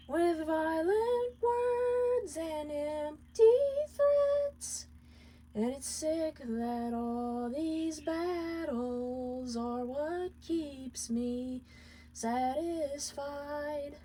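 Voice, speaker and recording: A woman voicing a heartbroken male imp in a story, singing mournfully in long, drawn-out phrases. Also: sits high, warm tone, voice pushed up, exaggerated voice.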